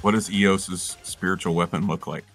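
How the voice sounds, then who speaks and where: A man's voice: deep voice, steady tone, normal speed, fairly bright tone, faint music behind. A man playing a tabletop game, chatting casually about rules and tactics.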